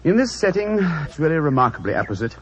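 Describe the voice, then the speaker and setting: An actor playing a cultured English detective, urbane and eloquent, in theatrical 1940s radio style. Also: male voice, on the high side, very animated, fast talker. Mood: lyrical, reciting. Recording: noisy, muffled 1940s radio recording.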